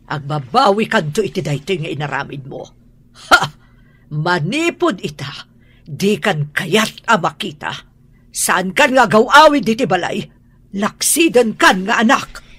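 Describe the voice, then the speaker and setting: A woman's voice: mid-range voice, lively intonation, measured pace, a bit bright, husky, no echo. A woman acting a stern, proud middle-aged mother in a radio drama. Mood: angry. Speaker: mother disowning her son.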